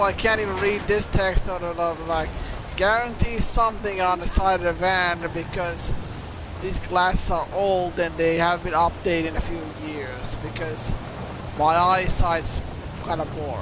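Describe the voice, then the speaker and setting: A man with a notably high voice rambles and rants over his gameplay, cursing offhandedly. Loud game noise and engine and water sounds sit under his speech, picked up on a desktop mic. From Finland. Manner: ranting, swears offhandedly. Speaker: streamer. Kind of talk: casual gameplay stream commentary.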